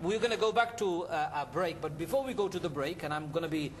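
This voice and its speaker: A man's voice: on the high side, animated, fast, long reverb tail, a little hiss or hum. A man hosting a live fundraising interview, encouraging and peppering his talk with Arabic devotional phrases. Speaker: television charity appeal host taking calls.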